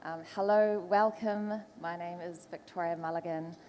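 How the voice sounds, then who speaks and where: A woman's voice: fairly low pitch, steady tone, moderate pace, big-room sound, slight background noise. New Zealand woman moderating a panel. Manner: warm, many ums, greets in Māori. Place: large conference hall.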